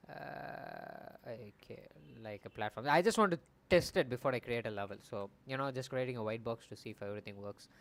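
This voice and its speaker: A young man casually streaming as he builds a game, rambling and correcting himself as he thinks aloud at a desk mic. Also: medium pitch. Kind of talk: casual live coding commentary.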